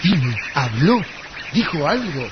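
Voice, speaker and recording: A man's voice: a little high, sing-song, slow. A man playing a young lover, tender and scornful by turns, acting dramatically in a radio melodrama. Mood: tender, urgent. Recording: muffled lo-fi radio, noisy background.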